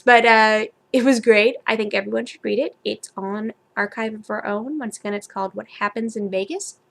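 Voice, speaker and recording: A woman reading fan fiction aloud in an informal, amateur way, with a giggly, self-deprecating manner. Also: mid-range voice. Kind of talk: amateur story read-aloud and casual chat.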